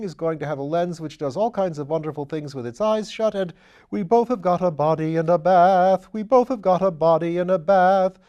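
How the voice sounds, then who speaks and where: A man voicing a breezy, whimsical aristocratic gentleman sleuth in an audiobook, chatty, playful and theatrical. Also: fairly high pitch, pitched above the performer's own voice, close to an everyday voice. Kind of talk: audiobook character voice.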